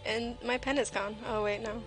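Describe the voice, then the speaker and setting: A woman's voice: fairly high pitch, fairly even intonation, moderate pace, rough recording. A woman livestream host chatting casually and jokingly while she draws, with a playful, self-deprecating way of talking. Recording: music playing behind the voice. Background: music.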